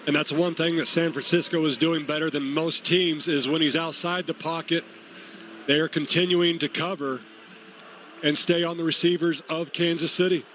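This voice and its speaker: A man's voice: fairly high pitch, fairly even intonation, moderate pace, fairly bright tone, a little hiss or hum. A man giving live color analysis on a football broadcast, explaining how plays worked. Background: faint stadium ambience.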